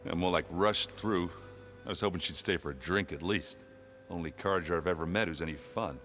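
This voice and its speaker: An actor playing a gruff, good-natured warrior in a video game, talking in a blunt, rambling, self-deprecating and jokey way. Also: male voice, low-pitched, fairly quick, a bit bright, soft background music. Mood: joking, casual.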